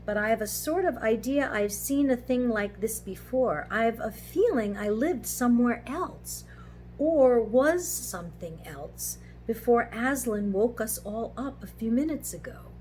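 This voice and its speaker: A woman playing a slow, thoughtful, slightly muddled talking horse in a storybook reading. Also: mid-range voice, expressive, a little hiss or hum, natural voice, rough recording. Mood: slow, puzzled. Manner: slow, pondering.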